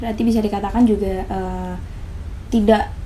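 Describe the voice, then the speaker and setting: A woman's voice: medium pitch, fairly even intonation, normal speed, warm tone, slight background noise, low-quality recording. A woman, interviewing casually and often searching for words. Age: 20s. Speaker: student interviewer.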